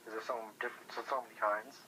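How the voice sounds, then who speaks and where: A man's voice: on the low side, fairly even intonation, racing, sharp tone. A man chatting casually over the phone as a guest, hesitant and thinking aloud. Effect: telephone. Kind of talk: casual chat. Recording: noisy phone call.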